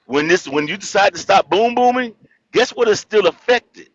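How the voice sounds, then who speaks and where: A man calling in to a live chat, talking calmly at first and then growing loud and indignant as he argues. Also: high-pitched, moderate pace, no echo. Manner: builds from calm to loud indignant rants. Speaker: caller. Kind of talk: heated casual debate. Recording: muffled dull audio.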